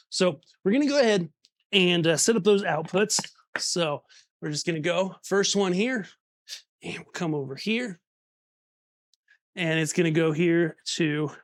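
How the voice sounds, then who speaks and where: A man's voice: on the high side, no room sound, studio quality. A man giving a casual, hands-on tutorial, chatty and informal, talking himself through each step as he goes. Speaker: presenter of audio gear tutorial. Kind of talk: casual hands-on instructional demo.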